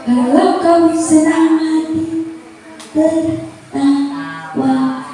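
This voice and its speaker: Female kids' entertainer, unhurried singing call-and-response, very reverberant hall, music behind her. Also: very high-pitched, fairly even intonation, far from the mic. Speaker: children's event entertainer. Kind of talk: sing-song call-and-response with children.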